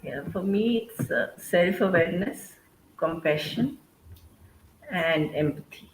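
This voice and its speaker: In a roomy, echoing space with faint ambient noise, a woman gives her answers on a panel. Her voice sits a little low and she speaks slowly. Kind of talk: conversational discussion.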